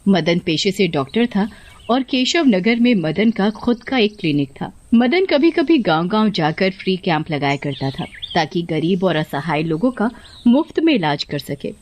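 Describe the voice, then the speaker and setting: A woman's voice: mid-range voice, darkish tone. A woman narrator reading a scripted children's story.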